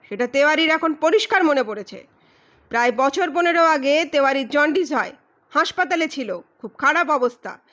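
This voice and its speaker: A blustering male private detective from an audio mystery, acted out by a woman. The voice is very high and loud, with emphatic, declamatory delivery. He comes across as boastful, ruthless and menacing. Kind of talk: dramatized audiobook reading.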